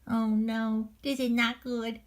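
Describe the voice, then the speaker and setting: A woman's voice: on the high side, little pitch movement, takes it slowly. A woman voicing a small, childlike, curious and easily worried character for a children's storytime. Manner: childlike, eager, worried exclamations and questions. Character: Squishy, curious anxious childlike little puppet pal.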